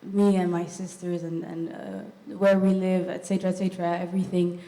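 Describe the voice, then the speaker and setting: A young woman in her twenties from Rwanda, speaking from the audience at a live festival panel, halting and full of fillers and short laughs. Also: on the low side, level tone, warm tone. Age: 20s. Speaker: audience member asking a question. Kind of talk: hesitant spoken remarks at a live festival panel. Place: festival event hall. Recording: echoey hall with long reverb.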